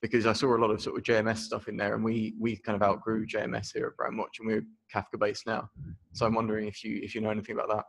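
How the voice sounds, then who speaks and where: A man in the audience asking a question at a tech meetup, loud-voiced. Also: fairly low pitch, vocal fry. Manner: loud, fast, flat delivery. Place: meetup talk venue indoors.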